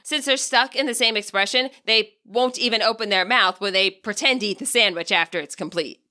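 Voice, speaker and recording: A woman's voice: medium pitch, fairly quick, sharp tone. A woman reading scripted story narration expressively, as the narrator of her own audiobook. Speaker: audiobook narrator and fan fiction author.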